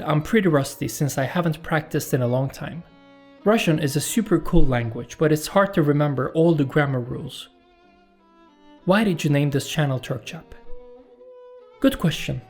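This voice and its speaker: A Turkish man, a native Turkish speaker, hosting his channel and reading out viewer questions and his answers in a calm, measured voice-over. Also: a little high. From Turkey. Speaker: YouTube channel host. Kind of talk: scripted Q&A voice-over.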